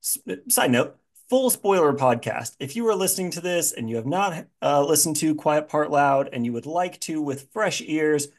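A man's voice: fairly high pitch, expressive, normal speed, few pauses. A man hosting a podcast in casual, informal chat, dramatizing his reactions as he goes. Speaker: podcast host.

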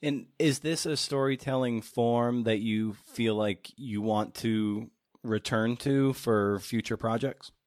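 A man's voice: mid-range voice, fairly even intonation, slow pace, a little mellow, no room sound, clean studio sound. A man hosting a podcast in a casual, informal, chatty way. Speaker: podcast host and interviewer.

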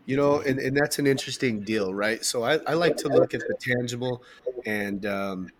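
A man with a loud voice co-hosting a podcast, talking in an emphatic, blunt way and swearing for effect. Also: medium pitch, normal speed. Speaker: podcast co-host. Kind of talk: casual podcast discussion.

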